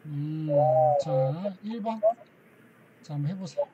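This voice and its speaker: Male Korean tutor, unhurried and mellow, coaching a student over a muffled, lightly hissy call line. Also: a little high. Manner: slow, prompting, corrects and repeats. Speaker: Korean teacher. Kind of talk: online language lesson drills.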